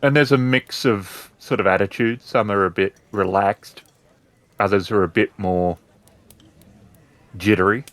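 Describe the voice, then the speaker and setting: A male game master improvises narration for a tabletop game. His voice sits a bit low and is recorded dry and close. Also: steady tone, unhurried, pauses often, no room sound.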